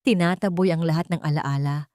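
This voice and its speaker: A woman's voice: deep voice, steady tone, a little fast, dark tone, no room sound, clean studio sound. A woman reading a scripted, dramatic audio story as its storyteller.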